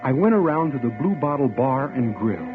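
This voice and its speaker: A man plays an earnest bank clerk who narrates his own search and stammers politely when he is flustered. His pitch rises and falls a great deal, and the thin, phone-like sound carries music behind it. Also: sing-song, moderate pace, lightly acted. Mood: narrating. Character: earnest male bank clerk hunting his missing fiancée. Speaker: narrator and lead. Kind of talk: 1940s radio acting. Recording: tinny old radio broadcast with music.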